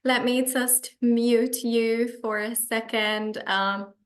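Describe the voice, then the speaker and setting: Female coach, Finnish accent, unhurried delivery, presenting a live webinar to participants. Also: on the high side, steady tone. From Finland. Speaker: coach hosting an online meetup.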